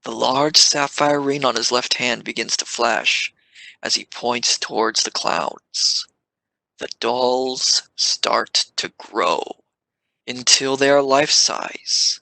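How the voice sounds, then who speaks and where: A man's voice: mid-range voice, slow pace, creaky, sharp tone, dry recording, low-quality recording. A man reading scripted stage narration for an audio drama.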